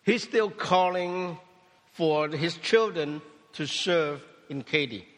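A man preaching a sermon testimony in short phrases, pausing for an interpreter. His first language is Cantonese. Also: fairly high pitch, fairly bright tone, in a large hall. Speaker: pastor.

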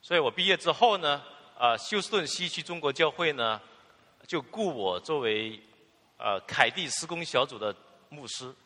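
A man's voice: fairly high pitch, animated, normal speed, sharp tone, big-room sound. A man interpreting a sermon phrase by phrase, fluent, with filler particles and restarts.

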